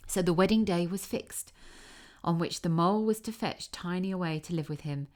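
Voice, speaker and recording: A woman narrating a children's fairy tale from a script in a measured, expressive storytelling manner. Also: on the low side. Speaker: audiobook narrator.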